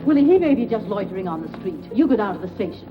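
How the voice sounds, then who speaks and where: A woman's voice: medium pitch, sing-song, racing, close to an everyday voice. An actress playing a worried, bustling woman who gives anxious orders in a 1940s radio thriller. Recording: thin, phone-like old radio recording with music.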